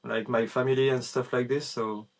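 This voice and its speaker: A French-accented man chatting loosely over a video call. His voice sits at an ordinary middle pitch, and he searches for words with plenty of pauses and fillers. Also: level tone, creaky. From France. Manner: laid-back, hesitant with frequent fillers. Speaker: guest. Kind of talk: casual chat.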